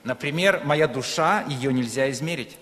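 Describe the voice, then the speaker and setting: A man's voice: medium pitch, moderate pace, fairly bright tone. A man from Ukraine, a native Russian speaker, preaching in short phrases that leave room for an interpreter. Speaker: guest preacher. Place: church hall. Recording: echoey hall with long reverb.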